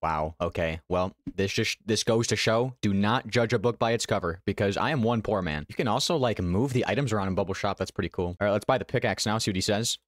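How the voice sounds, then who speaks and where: A man's voice: fairly low pitch, quick pace, few pauses, dry recording, clean studio sound. A man giving casual, chatty commentary on a video game as a YouTuber, talking informally straight to his viewers. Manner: chatty, informal, addresses viewers as "you guys". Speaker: gaming YouTuber.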